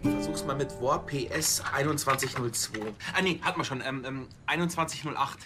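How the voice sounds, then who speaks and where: An actor playing an eager, bumbling junior policeman in a TV crime comedy, thinking aloud haltingly. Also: mid-range voice, over loud music, close to an everyday voice. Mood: puzzling.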